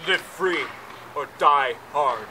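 A man's voice: fairly high pitch, slow pace, pushed and bright. A man in his twenties improvising comedy and chatting casually outdoors, physically active as he performs. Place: outdoors on a park lawn. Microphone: camera a few meters away. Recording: noisy outdoor camera audio.

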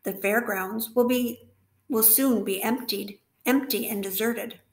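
A woman voicing a weak, dying female spider who speaks quietly and calmly, heard with a roomy echo. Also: a little high, a little slow, vocal fry. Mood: weary calm. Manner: quiet, calm, weary.

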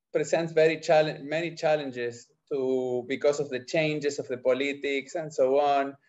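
A middle-aged man with an Argentine accent and Spanish as his first language, answering interview questions haltingly as he searches for words. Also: a little high, a little mellow. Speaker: entrepreneur, podcast guest. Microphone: video call microphone. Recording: dull, lo-fi video call.

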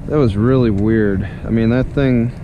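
A man fishing from a small boat, giving excited, chatty commentary into a head-mounted camera. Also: mid-range voice, normal speed, muffled recording. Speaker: angler filming his trip. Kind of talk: casual running commentary. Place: small fishing boat outdoors on open water. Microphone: head-mounted action camera. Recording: action camera outdoors with boat and wind noise. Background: wind, water and boat noise.

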